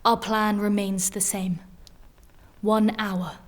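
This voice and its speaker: A woman playing a proud, menacing warrior noblewoman in a dark fantasy audio drama, stern, formal and terse. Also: medium pitch, flat delivery, slow, close to an everyday voice. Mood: grim, resolved.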